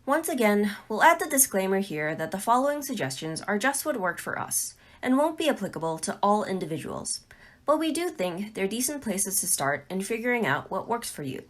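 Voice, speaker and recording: Woman presenter with lively, animated pitch. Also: a little fast. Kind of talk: scripted tutorial narration.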